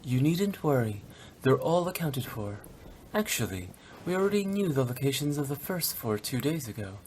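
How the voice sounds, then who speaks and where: A woman's voice: rumbling low, sing-song, moderate pace, darkish tone, slight background noise. A woman voicing a confident young female funeral-parlor director in a video game, briefing the others in a self-assured way.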